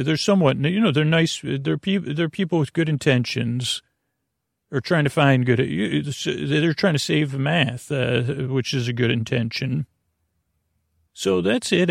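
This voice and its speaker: A man hosting a bedtime podcast in a meandering, halting, absent-minded monologue, often interrupting himself, with a gravelly voice. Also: mid-range voice, no echo, studio quality. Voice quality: gravelly, creaky. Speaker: podcast host.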